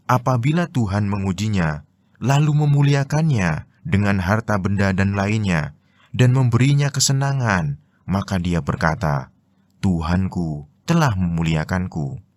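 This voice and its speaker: Low, dry-sounding Indonesian male narrator. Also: lively intonation, unhurried, pauses often, dry recording. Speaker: narrator of Quran commentary. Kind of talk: formal scripted narration.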